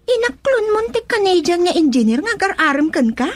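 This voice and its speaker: Isang babaeng gumaganap bilang kaibigang nagtatanong at nagpapayo, na may punto ng Ilocano, sa isang radio drama. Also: female voice, very high voice, sing-song, brisk. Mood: probing. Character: friend giving advice.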